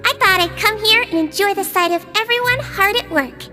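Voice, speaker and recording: A woman voicing a small, sweet nonhuman nurse in a video game, childlike, gentle and politely caring. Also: very high-pitched, fairly quick, music in the background. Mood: cheerful, admiring. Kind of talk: animated video game dialogue.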